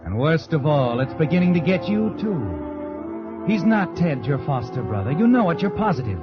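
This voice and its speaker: Taunting american radio mystery narrator, highish voice, big swings, music behind. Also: male voice, big pitch swings, normal speed. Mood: ominous, insinuating. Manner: dramatic, insinuating. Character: sinister, taunting all-knowing male storyteller addressing the heroine. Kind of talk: 1940s radio mystery narration in second person. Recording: muffled vintage radio recording. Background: dramatic music.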